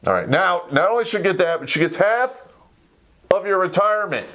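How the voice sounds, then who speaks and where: A man's voice: high-pitched, animated, a little fast, a bit bright. Blunt American man on a rant. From United States. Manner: blunt, profane, conversational. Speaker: talk show host. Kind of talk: live opinionated rant to camera.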